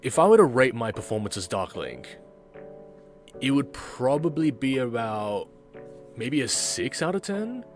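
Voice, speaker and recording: A man hosting a casual reaction video as an amateur voice actor, talking in deliberate, halting phrases. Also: medium pitch, very animated, soft background music.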